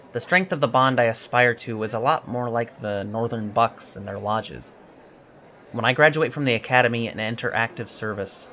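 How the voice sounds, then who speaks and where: A man's voice: fairly low pitch, bright, forceful tone. Eager young alien cadet, played by a man, noisy recording. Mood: earnest, solemn. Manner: hesitant, polite, candid, self-deprecating. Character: eager, emotional young male alien space academy cadet. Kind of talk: audio drama interview acting.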